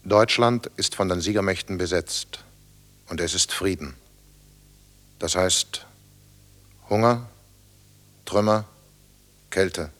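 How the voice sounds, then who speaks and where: Slow, low-voiced sober chronicler. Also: male voice, a bit bright. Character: sober chronicler of occupied postwar Germany. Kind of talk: radio drama narration.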